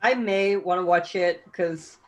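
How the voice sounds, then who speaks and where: A woman's voice: lowish voice, unhurried. A woman talking informally in a casual book discussion.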